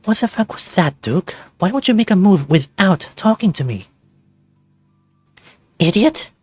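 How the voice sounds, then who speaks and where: A man's voice: sits high, sing-song, unhurried, darkish tone, slightly rough, no echo, soft background music, pitched above the performer's own voice, full character voice. A man voicing an angry female furry lab colleague in a visual novel, berating and exasperated. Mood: furious.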